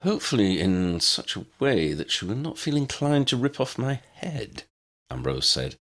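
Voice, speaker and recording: A man's voice: lowish voice, very animated, normal speed, a bit bright, exaggerated voice. A man voicing a wordy, courteous, eccentric old man in an audiobook, with formal and ornate phrasing. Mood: mock earnest. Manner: formal, ornate, pompous diction.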